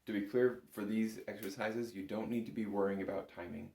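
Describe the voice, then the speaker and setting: Low male voice, gravelly fry, patient informal music instructor, step-by-step delivery, roomy recording. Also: steady tone, fairly quick, very noisy. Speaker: bagpipe instructor. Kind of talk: step-by-step spoken music lesson. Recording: roomy, echoing room sound.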